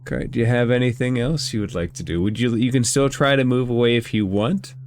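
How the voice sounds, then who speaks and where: A man's voice: medium pitch, brisk, a little mellow, slight background noise. A man acting as game master, narrating and explaining rules in a conversational way during a tabletop role-playing game.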